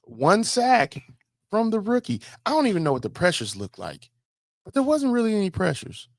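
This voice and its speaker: A man's voice: high voice, wildly swooping pitch, normal speed, gravelly, no echo. An American man co-hosting a sports podcast, talking casually and emphatically about football with strong opinions, repeating phrases to drive them home.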